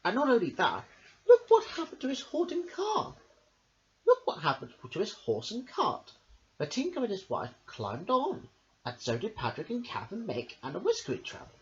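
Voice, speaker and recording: A man reads a children's storybook aloud, his voice leaping up and down in a hugely animated sing-song. He sits at a middling pitch and drops in casual asides, and the sound comes through a dull lo-fi home recording. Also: moderate pace. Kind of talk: reading a children's picture book aloud.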